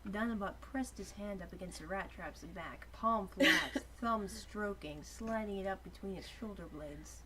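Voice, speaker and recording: A woman's voice: mid-range voice, measured pace, few pauses. A woman reading fan fiction aloud informally as a co-host, giggly and often stumbling over her words. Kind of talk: informal dramatic reading with amused chat. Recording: very noisy home recording with clicks.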